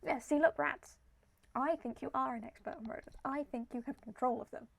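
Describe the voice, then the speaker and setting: Croaky high-voiced woman gamer. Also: brisk, no echo. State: slightly croaky voice. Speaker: gaming video host. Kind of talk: casual playthrough commentary mixed with reading game text aloud. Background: background music.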